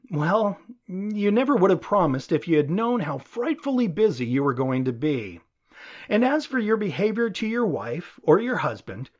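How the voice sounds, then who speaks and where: Expressive higher-voiced man reading an audiobook. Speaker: audiobook narrator. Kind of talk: audiobook reading of a conversational lecture. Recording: dull, muffled lo-fi audio.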